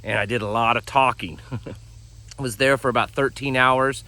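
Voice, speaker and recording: A man hosting his own channel, talking casually with a raspy, worn-out voice and many fillers and restarts. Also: medium pitch, fairly even intonation, moderate pace, bright, forceful tone. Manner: rambling, frequent fillers and restarts. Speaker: channel host. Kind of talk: casual talk to camera.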